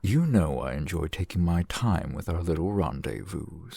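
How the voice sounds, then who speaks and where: A man playing a suave, shrewd fantasy shopkeeper in an audio roleplay, speaking in measured, formal, wry phrases with a gravelly, husky voice, close to the mic. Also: low voice, expressive, dark tone, dry recording, exaggerated voice. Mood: wry, unhurried. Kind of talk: fantasy ASMR roleplay acting. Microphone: close microphone, dry sound.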